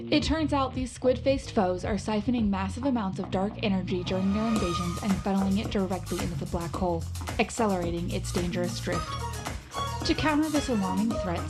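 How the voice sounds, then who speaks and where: A woman performs an earnest female tech correspondent on a wartime radio drama. Her mid-pitched delivery is polished and patriotic, like a scripted bulletin. Music plays clearly behind her. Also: close to an everyday voice. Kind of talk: scripted radio news report.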